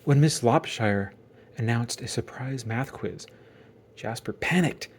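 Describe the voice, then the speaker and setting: A man narrating a children's book aloud as dramatic storytelling, with theatrical emphasis and suspenseful pauses. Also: mid-range voice, slight background noise.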